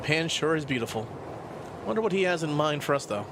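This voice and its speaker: A man's voice: fairly high pitch, lively intonation, fast, background noise. A man voicing an easygoing, mildly weary young male soldier from a visual novel, with music behind him. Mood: relaxed. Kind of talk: acting out visual novel dialogue.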